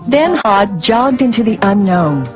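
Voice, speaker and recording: A woman giving scripted storybook narration in an expressive way, with music behind her. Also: a little high, a little slow.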